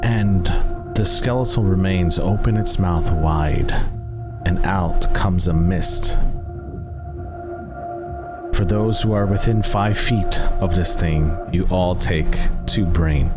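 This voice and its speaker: Man game master, slow deliberate narration, low voice, background music. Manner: measured, deliberate narration with pauses. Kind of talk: narrating a tabletop horror game.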